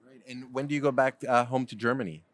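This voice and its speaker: A man's voice: mid-range voice, quick pace, fairly bright tone. A man hosting interviews on a convention floor, friendly and chatty, with fillers like "you know". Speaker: interviewing host.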